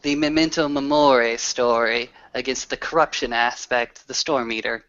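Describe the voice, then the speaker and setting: A man's voice: medium pitch, fairly even intonation, moderate pace, a bit bright, highs cut off, lightly acted. A man playing an earnest, grandiloquent werewolf storyteller with a formal, oratorical delivery in a tabletop roleplay. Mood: earnest, rallying. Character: grandiloquent male werewolf storyteller, earnest, rallying his pack.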